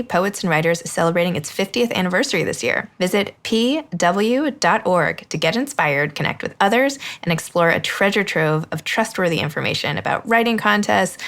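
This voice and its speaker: A woman's voice: medium pitch, a bit bright. A woman in her forties hosting a podcast, moving between scripted introductions and casual interview talk. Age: 40s.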